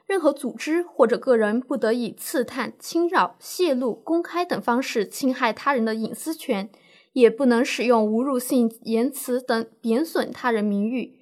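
A woman playing a calm, reassuring lawyer who explains the law formally in a scripted educational radio drama. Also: a little high, normal speed, lightly acted. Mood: reassuring, explanatory. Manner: composed, explanatory, formal legal phrasing. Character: calm, reassuring female lawyer advising a client.

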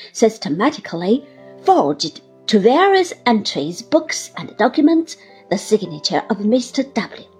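A woman voicing a pompous, florid middle-aged gentleman who speaks in grand formal phrases for a literary audiobook. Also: medium pitch. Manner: grandiloquent, formal piling up of words.

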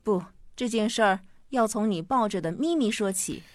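A woman's voice: mid-range voice, animated, normal speed, no echo, lightly acted. Woman acting a patient mother, dry sound. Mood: patient, explaining. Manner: patient, explanatory. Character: patient, gently explaining mother. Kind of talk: radio drama acting.

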